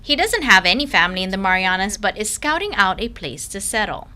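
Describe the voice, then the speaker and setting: A woman's voice: mid-range voice, sing-song, a little fast, bright, forceful tone. A woman narrating a news feature as a reporter, in an upbeat, friendly scripted delivery.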